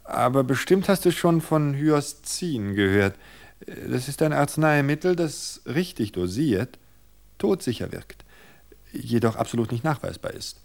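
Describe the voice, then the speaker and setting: A cornered wife, voiced by a male reader, talks high and unsteady, stumbling over her words before settling into a slow, careful tale. A dry, gravelly catch runs beneath the raised pitch. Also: big pitch swings. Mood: coolly scheming. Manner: high, halting, desperate then measured. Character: frightened woman scheming to stall husband.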